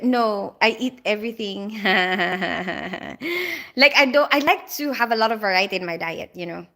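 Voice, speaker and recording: A woman's voice: fairly high pitch, sharp tone. A woman, chatting casually on a livestream, greeting viewers by name and answering their comments, with frequent fillers. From Philippines. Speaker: livestream host.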